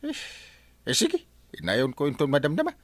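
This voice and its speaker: A man's voice: on the high side, wildly swooping pitch, normal speed, fairly bright tone, dry recording, full character voice. A man playing a flustered, hesitant village uncle in a comedy radio drama, stammering as he talks. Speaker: actor in an Ilocano comedy drama.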